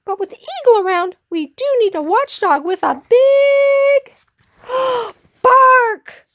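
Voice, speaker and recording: A woman voicing a small, earnest, excitable prairie dog who pleads and bosses in a children's storybook. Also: extremely high pitch, slow, no echo, studio quality, voice pushed up, full character voice. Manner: exclaiming, pleading and bossy. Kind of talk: animated children's storybook character voice.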